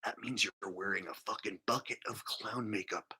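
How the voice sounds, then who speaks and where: A man's voice: fairly low pitch, steady tone, moderate pace, pushed and bright, husky. A man hosting a livestream, delivering a casual, crude, mocking rant in a monologue. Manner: mocking, crude, acting out mimicry. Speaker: livestream host.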